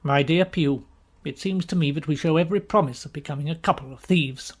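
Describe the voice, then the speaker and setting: A man voicing a smug, wry gentleman who coolly needles a friend in an audiobook story, with dry, formal phrasing. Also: a little high, voice pushed down, lightly acted. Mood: cool, mocking. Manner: dry, formal, unhurried teasing. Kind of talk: dramatised audiobook character reading.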